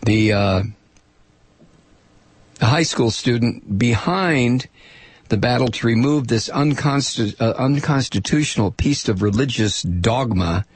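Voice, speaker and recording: An American man hosting a political talk show in an opinionated, sarcastic and indignant monologue, with dramatic emphasis and a gravelly, husky voice. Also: animated, a little slow. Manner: dramatic emphasis, swinging from low asides to shouts. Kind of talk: opinionated radio monologue, reading and commenting on listener mail and news.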